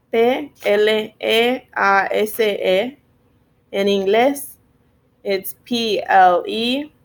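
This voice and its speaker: A woman gives a bilingual spelling lesson at a very slow, deliberate pace. She switches between Spanish and English and spells each word out letter by letter. Her pitch sits in a middle range. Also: a little hiss or hum. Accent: Spanish-speaking learner of English. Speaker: teacher of English sight words.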